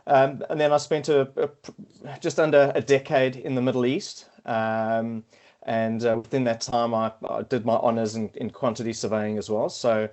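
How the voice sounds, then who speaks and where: A man's voice: medium pitch, level tone, moderate pace, darkish tone. A middle-aged man with a South African accent, a podcast guest talking casually and hesitantly, with many fillers and restarts. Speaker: podcast guest, quantity surveyor. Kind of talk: casual interview chat.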